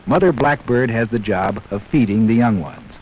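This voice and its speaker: A man narrating a scripted 1960s educational film in a measured, explanatory way, speaking directly to young viewers. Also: medium pitch, a little mellow. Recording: dull, hissy 1960s 16mm film soundtrack.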